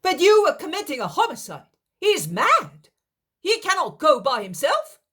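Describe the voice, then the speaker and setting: A woman's voice: sits high, huge pitch swings, a little slow, bright, forceful tone, pitched above the performer's own voice, heavily acted. A woman doing an honest, earnest young man. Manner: earnest, pleading. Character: honest, pious young man, pleading and defiant.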